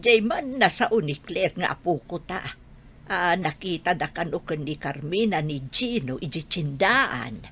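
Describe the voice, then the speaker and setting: A woman's voice: fairly low pitch, animated, measured pace, a bit bright, husky, exaggerated voice. A woman playing a tender, plaintive old grandmother who longs for her son in an audio drama. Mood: sorrowful, insistent. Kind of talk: Ilocano audio drama acting.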